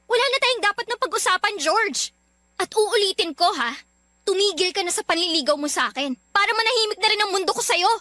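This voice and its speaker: Haughtily impatient college girl for radio drama, played by a woman: piercingly high, dry close sound, dismissive. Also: animated, brisk, pushed and bright, dry recording, soft background music, heavily acted. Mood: exasperated, firm. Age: young adult. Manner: exasperated, curt. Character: irritated, dismissive young female college student rejecting suitor. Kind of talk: Tagalog radio love drama acting.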